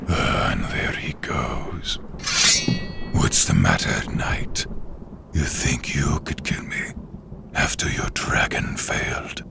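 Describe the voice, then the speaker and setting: A gravelly, very deep undead warlord. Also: male voice, big pitch swings, heavy background noise, heavily acted. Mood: sneering. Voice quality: gravelly, breathy, rasping. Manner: menacing, slow, theatrical. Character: contemptuous undead male warlord with a bitter grudge against knights. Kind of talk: scripted fantasy audio roleplay.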